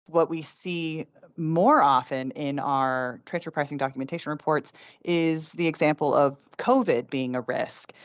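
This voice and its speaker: A woman's voice: sits low, smooth, no echo. Woman panelist in dry, slightly muffled audio. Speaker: expert panelist. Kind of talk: conversational expert commentary.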